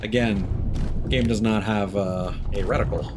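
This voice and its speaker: A man's voice: on the low side, steady tone, a little slow, noisy background. A man live streaming a game, casually chatting and thinking aloud in a reflective, rambling way. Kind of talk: casual chat with gameplay commentary.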